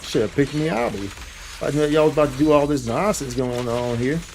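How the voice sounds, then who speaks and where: A man's voice: fairly high pitch, a little fast, a little mellow, a little hiss or hum. A man giving casual, slangy live gameplay commentary, repeating phrases and tossing in playful vocal sound effects. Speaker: game livestreamer.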